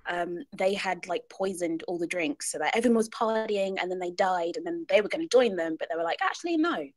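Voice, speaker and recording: A woman's voice: on the low side, lively intonation, brisk, dry recording. A woman giving casual, chatty feedback as a panelist, acting out little quoted asides.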